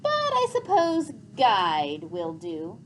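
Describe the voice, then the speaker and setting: A woman's voice: medium pitch, wildly swooping pitch, slow. A woman voicing a world-weary, self-important male rat from a storybook, wry and boastful. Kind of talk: storybook character reading. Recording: faintly noisy classroom recording.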